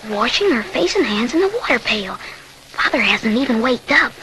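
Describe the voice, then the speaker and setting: A woman's voice: fairly high pitch, sing-song, normal speed, pushed and bright. A woman playing an earnest, fearful young boy who narrates and acts in a 1940s radio horror drama. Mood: worried. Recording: slightly noisy 1940s radio recording.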